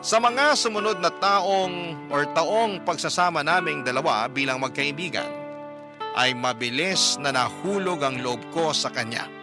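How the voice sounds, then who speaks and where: A man tells a first-person love story for a radio program in an earnest, storytelling way. His voice sits a little high, and clear background music plays under his narration. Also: unhurried. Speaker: narrator of a listener's letter. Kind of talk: scripted dramatic radio narration.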